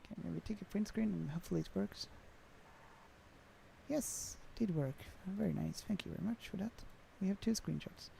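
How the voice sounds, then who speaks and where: A man's voice: high voice, moderate pace, creaky, mellow, slight background noise, rough recording. A young man with a Swedish accent, chatting casually on a flight sim livestream and reading out viewer comments, with many fillers. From Sweden.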